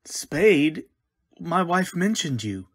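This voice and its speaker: Slow, distraught widower played by a man. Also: on the high side, big pitch swings, husky, exaggerated voice. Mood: shaken. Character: distraught, bewildered widowed husband. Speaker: supporting actor. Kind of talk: old-time radio drama acting.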